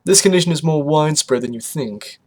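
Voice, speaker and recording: A man puts on a suave vampire pony father for a storybook reading. He is urbane and genteel. His words come smoothly, with a teasing, knowing edge. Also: a little high, a little fast, darkish tone, lightly acted. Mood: smooth, assured.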